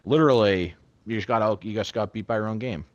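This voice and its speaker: A young man with a very low voice hosts a casual podcast chat. He talks in a loose, rambling way and swears freely. The sound is dry and close. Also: fast talker, no room sound, lo-fi sound. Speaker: podcast host.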